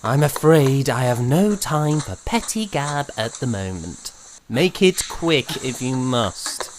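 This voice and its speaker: An actor playing a proud, wordy young composer in a period audio drama, with formal old-fashioned diction and a theatrical way of telling things. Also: male voice, medium pitch, lively intonation, slow pace, a little hiss or hum. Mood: brisk, self-assured. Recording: music behind the voice.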